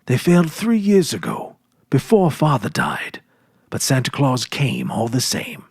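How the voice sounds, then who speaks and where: A man's voice: mid-range voice, huge pitch swings, measured pace, gravelly, breathy edge, dry recording, studio quality, full character voice. A man voicing a confident, eager ten-year-old farm boy in a storybook, earnest and emphatic, with a boastful know-it-all manner. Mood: confident, scoffing.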